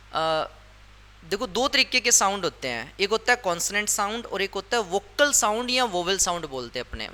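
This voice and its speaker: A man's voice: sits high, bright, forceful tone. A male English grammar teacher explaining a lesson step by step, repeating his points and often asking the class questions.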